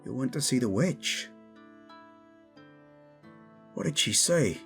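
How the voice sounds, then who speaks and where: A man playing a protective, devoted young commoner guarding royalty in a fantasy audio drama, gruff and intense. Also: mid-range voice, expressive, slow, fairly bright tone, soft background music. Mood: concerned. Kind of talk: fantasy audio roleplay acting.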